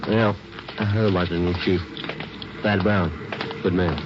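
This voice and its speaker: An actor playing a terse, matter-of-fact police detective sergeant in a 1940s American radio drama. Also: male voice, low-pitched, measured pace, heavy background noise. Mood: flat, businesslike. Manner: clipped, matter-of-fact, deadpan. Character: terse, dry male police detective sergeant narrating his case. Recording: muffled 1940s radio recording, music behind.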